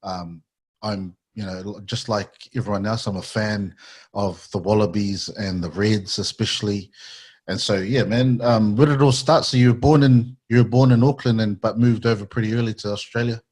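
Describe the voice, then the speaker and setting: Friendly podcast host, lowish voice. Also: male voice, moderate pace, slightly rough. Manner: friendly, relaxed, casual slang. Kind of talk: casual interview questions.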